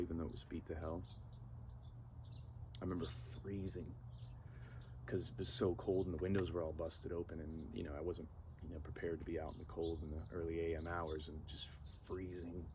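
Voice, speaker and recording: A man in his thirties telling a personal story in an earnest, animated way, acting out moments with sound effects. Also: deep voice, a little hiss or hum.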